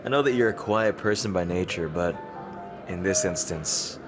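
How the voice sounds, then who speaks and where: A man playing a teasing rival king in an intimate dramatic roleplay, halting and smug yet easily flustered. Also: low voice, music in the background, close to an everyday voice. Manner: halting, teasing, with soft chuckles and pauses.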